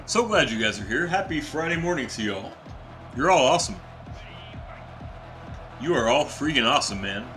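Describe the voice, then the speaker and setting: A young adult man, a teacher by day, giving casual, excitable live-stream commentary while playing a football video game and chatting with viewers. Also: mid-range voice, normal speed, pauses often, soft background music. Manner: excitable, chatty, reacts to plays and reads chat aloud.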